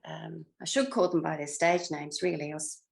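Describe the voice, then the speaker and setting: An older woman with a slightly low voice speaks as an interview guest looking back on her acting days. She is effusive in her praise and often pauses as she reaches for details. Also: steady tone, vocal fry, background noise. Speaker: interview guest, former TV actress. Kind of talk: reminiscing interview chat.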